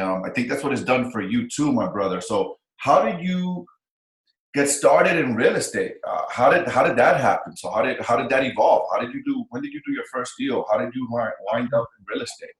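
A man hosting a casual interview, speaking with enthusiasm and encouragement and repeating phrases for emphasis. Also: medium pitch. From Dominican Republic. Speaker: interview host. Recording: echoey room.

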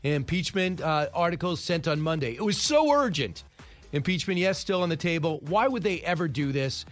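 A radio talk host delivers headlines in a casual monologue, tossing off sarcastic one-liners between stories. His voice sits a bit high for a man. Faint music plays under him in the studio. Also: lively intonation, fairly bright tone. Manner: wry asides, quick opinions, occasional stumbles. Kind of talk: casual news-commentary monologue.

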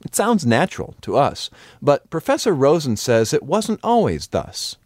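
A man's voice: a little high, huge pitch swings, moderate pace, no room sound. A man presenting a radio feature with casual scripted narration.

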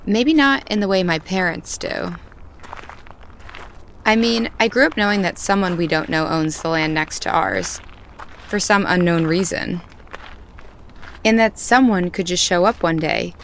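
Calm sure-of-herself farm girl, steady voice. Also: lowish voice, unhurried, vocal fry, pauses often, slight background noise. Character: calm, self-assured young farm woman. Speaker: farmer's daughter. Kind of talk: audio drama acting. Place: outdoors on a farm at night.

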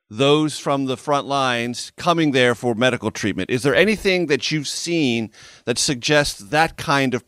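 A man hosting an interview podcast, asking long explanatory questions in a measured way. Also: medium pitch, a bit bright, clear, resonant tone, no room sound, clean studio sound. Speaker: podcast host.

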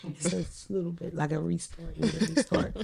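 A young woman with a deep voice, telling blunt, profane stories as a podcast co-host in casual chat. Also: level tone, a little fast, vocal fry, a crowd in the background.

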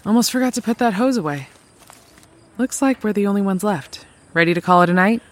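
A woman's voice: mid-range voice, fairly quick, lightly acted. A woman playing a resourceful drifter mechanic, telling of travels in a naturalistic audio drama, conversational and wry. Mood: easygoing.

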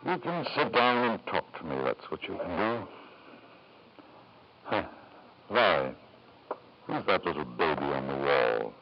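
A muffled old radio drama recording features a self-justifying, titled father. He is urbane and coaxing, pressing his points with lively rises and falls in pitch. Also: a little slow, creaky, pauses often, full character voice. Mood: smooth, probing. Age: middle-aged. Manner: urbane, insistent, repeats questions for emphasis. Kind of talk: 1950s radio drama acting. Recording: dull vintage radio recording.

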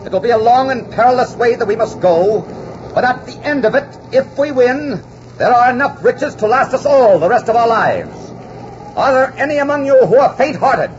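An actor playing a bold, romantic adventurer captain in a theatrical 1940s radio drama style. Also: male voice, very high-pitched, lively intonation, normal speed, a little hiss or hum. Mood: rousing, commanding. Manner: theatrical, ardent, declamatory. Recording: dull 1940s radio recording, music behind.

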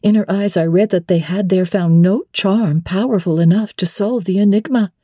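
A woman reading classic literature as a dramatic first-person narration, measured and expressive. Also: medium pitch, no room sound, clean studio sound.

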